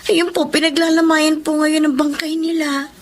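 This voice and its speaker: An actress playing a gentle, respectful young daughter with a Filipino accent in a radio drama. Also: female voice, sits high, little pitch movement, normal speed, lightly acted. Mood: somber.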